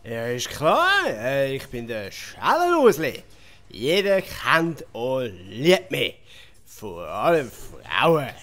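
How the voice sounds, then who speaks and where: An actor playing a boastful, self-pitying village drunkard in a Swiss German comic audio play, hesitating and repeating himself. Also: male voice, mid-range voice, wildly swooping pitch, slow, fairly bright tone, full character voice. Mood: cocky, chummy. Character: boastful male village drunkard, washed-up local legend. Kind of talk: comic audio drama acting.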